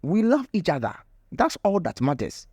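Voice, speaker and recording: Man as a defiant, desperate grandmother, highly animated. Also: normal speed, slightly rough, no room sound, clean studio sound, full character voice. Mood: pleading. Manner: pleading, insistent, defensive.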